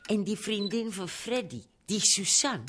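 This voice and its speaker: An actress in a radio drama playing a chatty, gossipy housewife with an expressive way of talking. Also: female voice, mid-range voice, unhurried, a little hiss or hum. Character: chatty ailing housewife confiding in a friend.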